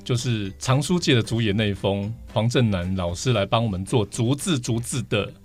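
A man's voice: lowish voice, normal speed. A man with a Taiwanese Mandarin accent explaining things enthusiastically as a guest in a conversation. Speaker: podcast guest, vinyl collector. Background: background music.

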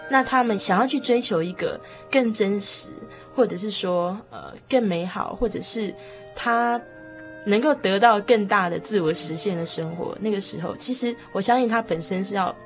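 Music clearly playing, woman chatting earnestly in a panel, mid pitch, expressive. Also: moderate pace. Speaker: panel discussion participant. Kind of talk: casual reflective discussion.

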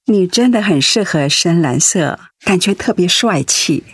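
An actress playing a devoted, anxious wife in a radio drama, speaking tenderly and earnestly with feeling. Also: female voice, on the low side, lively intonation, a little slow, slightly rough, no echo, studio quality. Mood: caring. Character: devoted, anxious wife caring for her sick husband.

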